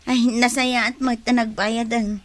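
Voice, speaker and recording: A woman's voice: fairly high pitch, little pitch movement, unhurried, close to an everyday voice. A woman acting in an Ilocano radio drama as a tired market vendor wife, sighing and complaining about her aches. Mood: aching, complaining. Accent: Ilocano, northern Philippines. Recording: noisy radio drama recording.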